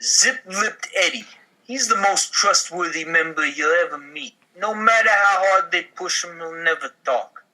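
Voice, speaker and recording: A man's voice: sits high, a little slow, bright, forceful tone, poor audio. A man reading a comedy script aloud among friends, with informal, wisecracking improvised asides. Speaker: podcast cast member reading a script.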